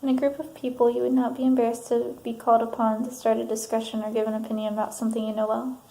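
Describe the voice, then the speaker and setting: A woman doing a soft-spoken ASMR counselor roleplay, reading out assessment statements in an unhurried way with pauses. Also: fairly high pitch, little pitch movement. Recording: roomy sound, faint background noise.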